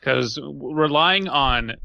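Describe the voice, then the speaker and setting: Bright, punchy man bantering on a podcast. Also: mid-range voice, slow pace, creaky, no echo, dull audio. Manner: opinionated, profane, riffing. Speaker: podcast co-host. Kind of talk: casual comedic banter.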